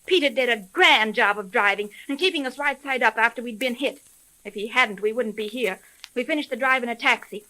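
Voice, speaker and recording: A spirited, level-headed lady detective from an old radio serial. Her high voice is bright and forceful, and she speaks in a crisp, assertive way. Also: brisk, poor audio. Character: smart, spirited, level-headed female private investigator. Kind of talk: 1930s radio drama acting.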